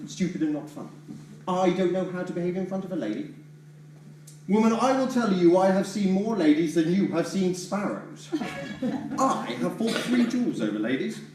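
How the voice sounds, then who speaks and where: A man's voice: sits high, expressive, normal speed, slight background noise. Brash debt collector, a man on stage, bellowing and mocking; roomy, distant sound. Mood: ranting. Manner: shouting, sarcastic, ranting. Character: blustering rude male debt collector. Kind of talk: stage play acting. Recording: echoey hall, distant mic.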